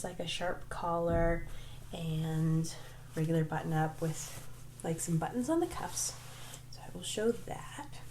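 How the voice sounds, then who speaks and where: A woman chats casually as the host of a home crafting vlog, her voice stuffy and faintly nasal as she gets over a cold. She rambles in a friendly, self-deprecating way, full of ums and likes, at an average pitch. A faint hiss of room noise sits behind her in a small home room. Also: darkish tone. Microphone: desk microphone.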